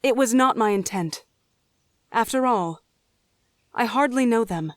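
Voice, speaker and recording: High-voiced young druid woman, played by an actress, eager and oblivious, fantasy audio drama, dry close sound. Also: animated, a little slow, dry recording. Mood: defensive.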